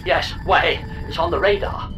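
An actor playing a smug, sardonic artificial pod companion with a deadpan, wry manner in a comic sci-fi audio drama. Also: mid-range voice, normal speed, lightly acted. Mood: wry, blasé. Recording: music under the voice.

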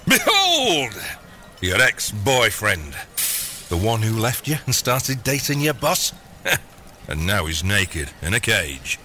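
A man's voice: lowish voice, huge pitch swings, slow pace, pushed and bright, a little hiss or hum, exaggerated voice. A man playing a gleeful, grandiose megalomaniac father, wheedling theatrically in an audio comedy drama. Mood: gloating. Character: gleeful megalomaniac villain, doting scheming father.

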